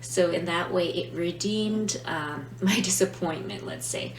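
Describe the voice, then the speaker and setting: A woman's voice: fairly low pitch, measured pace. A woman who teaches Italian, not her first language, chatting reflectively in a casual vlog and often checking in with "right?". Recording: roomy, distant mic with faint hum.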